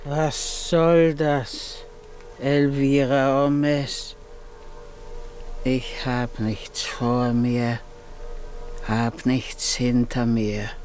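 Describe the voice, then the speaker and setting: An actor playing a grave, fatalistic man in a 1950s German radio drama, declaiming solemnly in measured phrases. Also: mid-range voice, little pitch movement, a little mellow, faint music behind. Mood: solemn, resigned.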